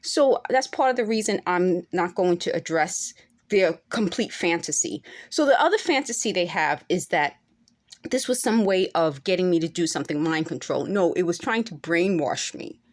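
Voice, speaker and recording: A woman's voice: on the low side, lively intonation, normal speed. Earnest woman in her forties, insistent. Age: 40s. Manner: earnest, insistent, rising to emphatic peaks. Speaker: vlogger. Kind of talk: unscripted monologue to camera.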